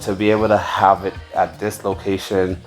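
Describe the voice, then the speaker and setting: A man from the United States hosting a casual farm tour, explaining things in a halting way with repeated words. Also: deep voice, little pitch movement, faint music behind. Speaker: farm owner and video host.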